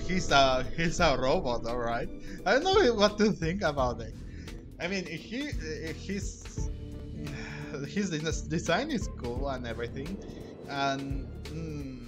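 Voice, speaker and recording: A man's voice: on the high side, expressive, takes it slowly. A man giving casual, chatty gameplay commentary, reacting aloud to the game as he plays. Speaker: game streamer. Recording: game music behind the voice. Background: game music.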